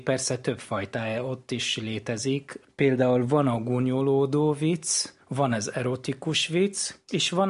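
A man's voice: mid-range voice, husky. Man telling a joke. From Iraq. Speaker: interviewee. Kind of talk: storytelling in an interview.

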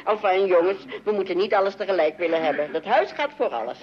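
A muffled old radio recording carries an actress as a sensible family mother. Her intonation swings in a lively, enthusiastic way, in radio comedy style. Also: mid-range voice, fast, natural voice. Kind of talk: mid-century Dutch radio comedy acting.

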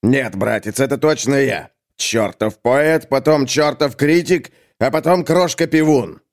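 A man voicing a dim, menacing thug in an audiobook, grumbling gruffly and boasting like a simpleton. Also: medium pitch, measured pace, pitched above the performer's own voice, natural voice. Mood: threatening. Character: dim, menacing male thug who speaks of himself by name. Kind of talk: audiobook character acting.

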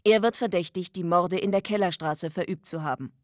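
A woman's voice: fairly low pitch, a little fast, no room sound, studio quality, natural voice. An actress playing a driven, outspoken woman investigative reporter in a radio drama. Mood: crisp, reporting.

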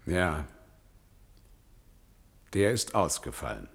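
An actor playing a grave man in a 1970s science-fiction radio play, with a gravelly, husky voice. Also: low voice, very animated, takes it slowly, long reverb tail, heavily acted.

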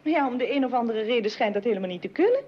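An actress playing a lively, easily indignant young daughter in a Dutch radio play. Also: female voice, on the high side, lightly acted. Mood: chatty. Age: young adult. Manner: slowly reads out letters while typing, chatty otherwise. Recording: dull, noisy old radio recording.

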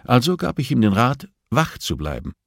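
A man's voice: medium pitch, huge pitch swings, moderate pace, gravelly, dry recording, clean studio sound, voice pushed up, full character voice. A man voicing a composed, firm female prison therapist who speaks politely and insistently, as audiobook dialogue. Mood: probing, calm.

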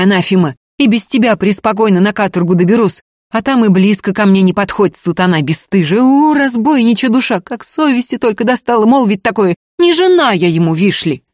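A narrator playing a fierce, defiant young peasant woman with shrill, folksy scolding. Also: a little mellow, dry recording, studio quality, natural voice.

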